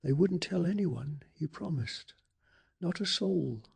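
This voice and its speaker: A man narrating a bedtime story in a soft-spoken ASMR style, with a breathy, hushed and dramatic delivery. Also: fairly high pitch, lively intonation, takes it slowly.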